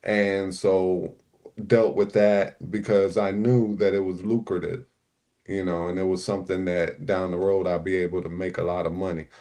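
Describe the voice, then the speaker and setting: A low-voiced man from Puerto Rico, raised in Newark, chats in a relaxed way on a livestream. He fills his talk with "you know" and speaks as a regular on the panel. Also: moderate pace. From Puerto Rico, raised in Newark, New Jersey. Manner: laid-back, many "you know" fillers. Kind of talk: casual livestream chat.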